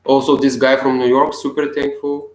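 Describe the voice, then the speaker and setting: A young man from Bulgaria with a Bulgarian accent, talking casually to camera in halting English with many restarts and chuckles. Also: mid-range voice, level tone, reverberant, rough recording. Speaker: self-healing vlogger.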